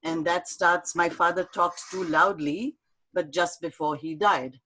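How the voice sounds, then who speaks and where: Soft halting woman reading a poem on a video call. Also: on the low side, fairly even intonation, rough recording. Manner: soft, halting, warm storytelling with fillers. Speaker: speaker at an online talk. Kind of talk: reading her poem and answering questions.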